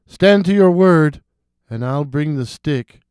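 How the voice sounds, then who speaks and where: Man voicing a resolute, smooth-toned young village woman. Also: fairly high pitch, expressive, slow, darkish tone, no echo, studio quality.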